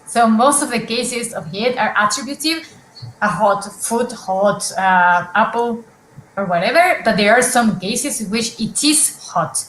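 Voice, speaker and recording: A woman's voice: mid-range voice, a bit bright, echoey room, a little hiss or hum, low-quality recording. A woman presenting research at an academic conference, explaining examples step by step with frequent fillers and restarts over a video call.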